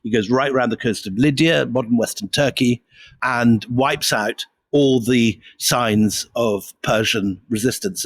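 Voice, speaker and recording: A man's voice: medium pitch, dry recording. Man, history podcast co-host, keen explainer, dry close mic. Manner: enthusiastic, explanatory. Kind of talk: conversational history storytelling.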